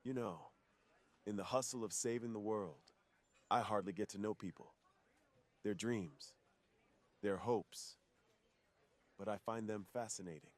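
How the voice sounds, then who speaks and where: A gentle, secretive male superhero, acted by a man in an intimate ASMR roleplay. His voice is fairly low, and he talks in an earnest, confiding way, weary of his double life. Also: unhurried, pauses often, close to an everyday voice. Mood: warm, open.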